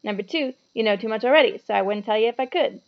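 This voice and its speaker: A woman's voice: a little high, fast talker, lightly acted. Lady reading as a big friendly gamekeeper guy, proud, folksy clipped talk, storybook voice, slightly muffled sound. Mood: guarded. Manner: folksy, clipped informal speech with dropped sounds. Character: burly, friendly, proud male gamekeeper from a children's fantasy.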